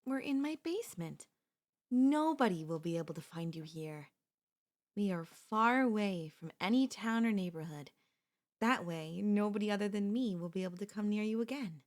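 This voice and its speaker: A young woman playing an obsessive, possessive kidnapper and childhood friend in an ASMR audio roleplay, speaking in a soft, intimate, pleading manner. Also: medium pitch, lively intonation, moderate pace, close to an everyday voice. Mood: soothing, possessive.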